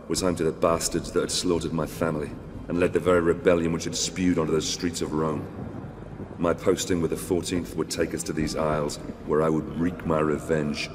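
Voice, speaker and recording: A bitter Roman soldier with a very deep, level voice. He recounts his quest for revenge gravely, in measured game-cutscene narration, with a long hall reverb around him. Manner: grave, deliberate storytelling. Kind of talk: scripted dramatic narration. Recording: long hall reverb, noisy battle ambience.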